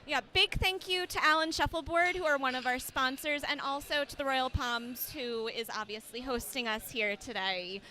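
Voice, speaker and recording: An upbeat woman chats as co-commentator on a tournament livestream. Her voice is fairly high and strikingly bright, and she keeps the talk lively and friendly. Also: normal speed, few pauses. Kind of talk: casual sports commentary.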